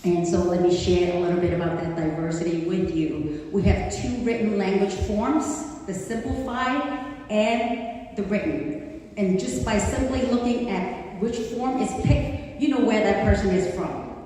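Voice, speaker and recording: A woman's voice: medium pitch, steady tone, measured pace. A woman in her forties who grew up in Hong Kong and speaks Cantonese natively, giving a chatty personal talk at a community event, with many fillers. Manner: warm, conversational, with frequent fillers. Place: community center hall. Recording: echoey hall, distant mic, faint noise.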